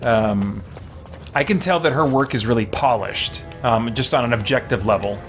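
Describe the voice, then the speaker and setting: A man's voice: medium pitch, normal speed. Casual male streamer thinking aloud. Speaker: game streamer. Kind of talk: casual livestream gameplay commentary. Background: faint game music.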